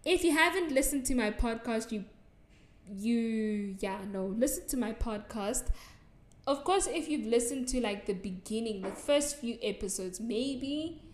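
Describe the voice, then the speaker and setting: A woman's voice: fairly high pitch, darkish tone. Giggly South African woman podcaster, echoey. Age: young adult. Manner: chatty, giggly, with many likes and ums. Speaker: podcast host. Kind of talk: solo podcast answering listener assumptions. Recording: echoey room.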